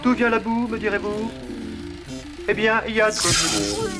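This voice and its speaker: An earnest, rambling cartoon documentary presenter, voiced by a woman. The voice sits at an ordinary pitch, dully didactic, over very loud music. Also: lively intonation, normal speed, close to an everyday voice. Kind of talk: documentary narration parody.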